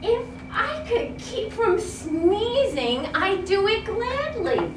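Storybook elephant, acted by a woman: big, apologetic, skittish, very high swooping voice, roomy sound. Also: big pitch swings, slow pace, exaggerated voice. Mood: sad, apologetic. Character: big apologetic, easily frightened, giggly elephant. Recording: roomy, noisy classroom, distant mic.

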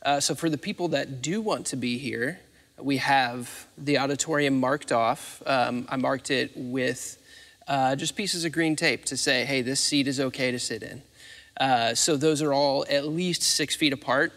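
A man's voice: medium pitch, measured pace, fairly bright tone. An American man in his thirties explaining arrangements in an interview, conversational and matter-of-fact. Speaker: theatre facilities manager, interviewee. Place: large theatre stage, indoors. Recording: reverberant empty theatre stage.

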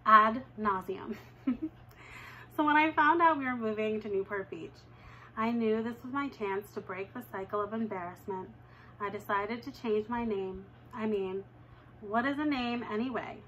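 Woman reading a kids book at home, expressive, pitch jumps up for dialogue, close camera mic. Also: normal speed, a little hiss or hum, low-quality recording. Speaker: reader of a children's novel. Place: living room at home, indoors.

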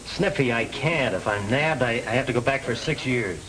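An actor playing an earnest, determined young parolee, direct and clipped, with an American accent in 1930s film style. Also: male voice, medium pitch, lively intonation, normal speed, natural voice. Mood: earnest, pressing. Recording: noisy old film soundtrack, clicks.

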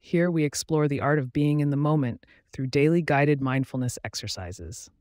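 A man with a fairly high voice leads a guided meditation in a calm, unhurried way, pausing between phrases. He is close to the mic in a dry room. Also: dry recording. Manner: slow, soothing, even delivery with pauses.

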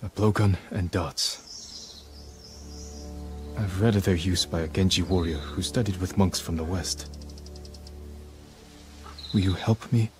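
Game lead: stoic male samurai, deep register, restrained and dutiful, light score behind. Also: measured pace, pauses often. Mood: resolute. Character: stoic, resolute samurai lord, dutiful and gentle-hearted. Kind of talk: video game cutscene acting. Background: soft cinematic game music.

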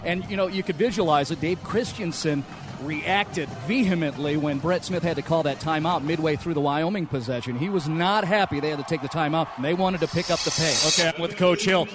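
A man doing radio sideline reports from a football stadium, speaking in a brisk, informative and conversational way. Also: fairly high pitch. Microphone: handheld microphone with headset.

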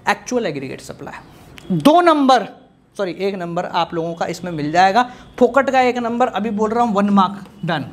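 A man in his thirties gives an energetic exam-prep lecture, his voice rising and falling all the time as he drills terms over and over. He talks in a playful, coaxing way to his students, gesturing in front of a projected slide. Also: very high-pitched. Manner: lively and playful, repeats key terms, gestures while talking. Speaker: teacher.

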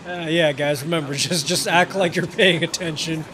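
A man's voice: on the high side, normal speed, noisy background. A tired young man hosting a casual let's-play, profane and laughing, talking back at the game late at night. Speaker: gaming channel host. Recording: game music loud behind voice.

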